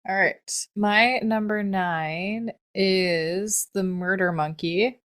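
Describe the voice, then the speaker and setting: Woman, podcast co-host, average pitch, casual conversational style, informal. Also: slow pace, fairly bright tone. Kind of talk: casual chat.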